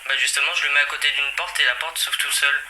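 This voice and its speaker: A man's voice: a little high, steady tone, fast, sharp tone. A man rambling hesitantly through a casual, confused complaint on a phone call, in a noisy recording. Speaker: prank caller.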